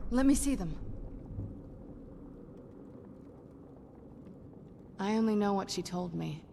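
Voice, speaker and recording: A woman voicing a shrewd, composed young female vampire necromancer in a video game, speaking in a measured, dry, musing way. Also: mid-range voice, a little mellow, a little hiss or hum, close to an everyday voice. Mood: intent, explanatory. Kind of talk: video game character dialogue.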